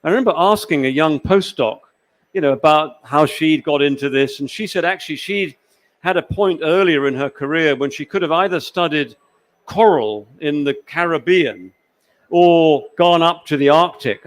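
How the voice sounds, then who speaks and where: Male panel moderator, voice a little high, chatty and encouraging, live interview style.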